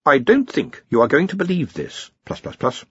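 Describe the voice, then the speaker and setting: A terse storybook machine , acted out by a man, reporting what it can hardly believe. The pitch sits well above the reader's own, and the sound is a little dull. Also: sing-song, normal speed, husky, dry recording, heavily acted. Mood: flat disbelief. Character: thinking machine writing out terse, disbelieving messages. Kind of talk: audiobook character voice.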